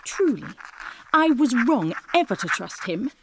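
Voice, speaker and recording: High-pitched young romantic queen played by a woman. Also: big pitch swings, a little slow, noisy background, full character voice. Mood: resolute, wary. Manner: dramatic, declamatory. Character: young Mary Queen of Scots, romantic, headstrong, reading her diary. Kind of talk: dramatized audiobook diary reading.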